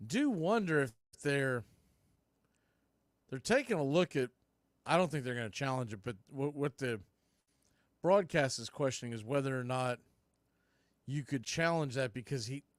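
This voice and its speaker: A man calling live baseball play-by-play in punchy short phrases, getting excited on big plays. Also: medium pitch, dry recording.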